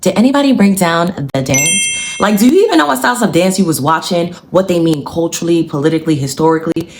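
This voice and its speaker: A woman's voice: on the low side, animated, quick pace, noisy background. A young woman, a dancer explaining choreography in a casual, slangy scripted video with punchy lists. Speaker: dancer and commentator.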